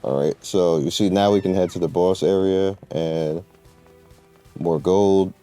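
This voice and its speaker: A man's voice: low voice, fairly even intonation, unhurried, dark tone, soft background music. A man giving casual, informal gameplay commentary, rambling through his explanations in slangy language as he guides viewers. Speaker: gamer presenting a game guide.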